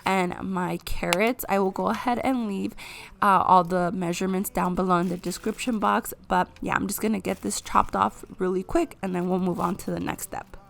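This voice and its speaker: A woman narrates a cozy cooking vlog as she talks viewers through each step. Her voice is hoarse from a cold and has a gravelly edge. Her pitch sits in a middle range. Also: fairly even intonation, normal speed. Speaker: vlogger. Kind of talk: casual cooking vlog voice-over.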